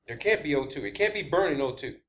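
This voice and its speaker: A man hosting an informal theorizing monologue, speaking in a halting, repetitive and emphatic way with blunt wording. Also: fairly high pitch, expressive, fairly bright tone. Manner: blunt, swearing. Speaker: video host.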